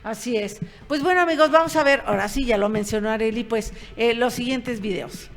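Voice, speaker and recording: A woman's voice: a little high, a little fast. A woman co-hosting a political commentary show, explaining points and speaking straight to viewers. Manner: addresses viewers directly, explanatory. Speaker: show co-host. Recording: long reverb.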